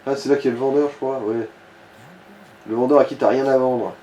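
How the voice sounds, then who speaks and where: Male gaming co-commentator, mellow tone, casual and foul-mouthed, roomy sound with faint noise. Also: medium pitch, fairly even intonation, fairly quick. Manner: casual, crude slang and swearing. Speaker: let's play co-commentator guiding the player. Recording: roomy echo with clear background noise.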